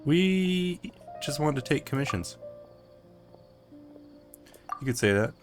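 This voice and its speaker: A man's voice: on the high side, lively intonation, takes it slowly. A man giving casual commentary on a game playthrough, muttering playful asides and talking back to the game. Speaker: gaming streamer. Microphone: own microphone over game audio. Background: game music.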